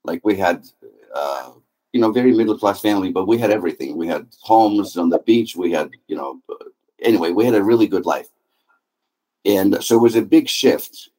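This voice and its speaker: A man's voice: deep voice, lively intonation, normal speed, mellow, poor audio. A middle-aged man from Greece, a guest on an interview podcast, answering in a friendly, talkative way with frequent fillers. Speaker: guest, happiness author and speaker.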